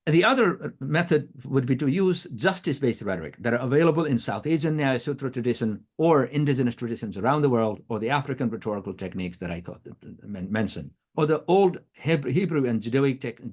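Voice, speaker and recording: A man's voice: mid-range voice, lively intonation, brisk, hardly any pauses, darkish tone. A middle-aged man whose first language is Nepali, giving an earnest academic talk, often restarting words, with a throat irritated by allergy. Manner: earnest, questioning, frequent restarts. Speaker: guest speaker, professor.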